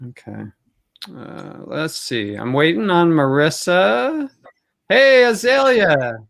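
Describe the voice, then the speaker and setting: A man's voice: high voice, expressive, takes it slowly, gravelly, fairly bright tone, dry recording, studio quality. A man hosting a virtual game show live over a video call in a casual, off-the-cuff way.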